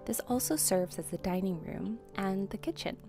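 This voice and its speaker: A woman with a middle-pitched voice narrates a tour of her home in calm, scripted voice-over. Her delivery is even and tidy, like a tour guide, with soft music underneath. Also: moderate pace, creaky. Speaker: homeowner presenting a house tour.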